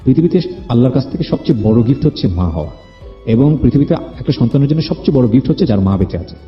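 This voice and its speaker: A man's voice: mid-range voice, animated, quick pace. A man with a Bangladeshi accent hosting an interview, speaking earnestly and probingly and mixing in English words. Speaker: radio show host. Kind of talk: conversational life-story interview with advice. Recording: roomy echo, dull muffled sound, music underneath. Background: background music.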